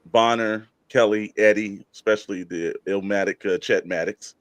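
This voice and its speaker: Low-pitched man hosting a casual panel. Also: fairly even intonation, normal speed, no echo. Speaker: host.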